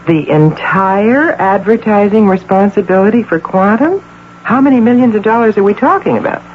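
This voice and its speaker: Casting: woman to play brisk, sharp-tongued female agency boss, middle-aged, theatrical radio drama delivery, muffled noisy broadcast sound. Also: medium pitch, lightly acted. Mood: brisk, commanding. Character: high-powered, sharp-tongued middle-aged agency president and doting mother.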